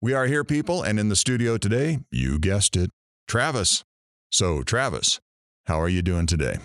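A man's voice: on the low side, very animated, normal speed, fairly bright tone, no room sound, clean studio sound. A man playing a brash, crude, wisecracking talk radio host in an audio drama, with showy, sarcastic patter. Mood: hyped, sardonic. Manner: showy, sarcastic, innuendo-laden banter.

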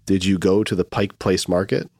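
A man's voice: sits low, fairly even intonation, normal speed, a little mellow, no room sound, studio quality. A man with a Midwestern accent from Michigan hosting a casual legal podcast, easygoing and joking. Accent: Midwestern American. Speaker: podcast host.

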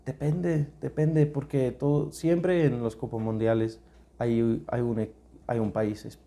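A man from England, a native English speaker with an English accent, co-hosting a podcast in casual chat and speaking halting learner's Spanish with English words slipped in. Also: medium pitch, level tone, mellow. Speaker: podcast co-host.

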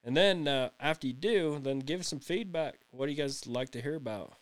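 Man, late thirties, podcast co-host, casual chat, rambling, laughs a lot, smooth resonant voice. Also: a little high, fairly quick. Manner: laughs often, rambling and excitable.

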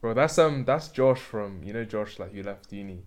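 A man's voice: fairly low pitch, expressive, moderate pace, a little mellow. A young man, a podcast guest chatting in a laid-back, slangy way. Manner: laid-back slangy chat, says "bro" and "innit". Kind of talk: casual chat.

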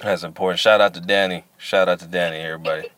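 A man's voice: sits low, fairly even intonation, fast talker, a bit bright, no room sound. Slangy, playful man hosting a chat. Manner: playful, slangy, repeats phrases. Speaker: podcast host. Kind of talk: casual chat.

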